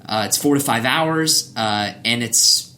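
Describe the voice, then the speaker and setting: A man's voice: medium pitch, slow, sharp tone. A man hosting a podcast in a casual, conversational style, freely giving his opinions. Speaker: podcast host. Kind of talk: casual chat about games and movies.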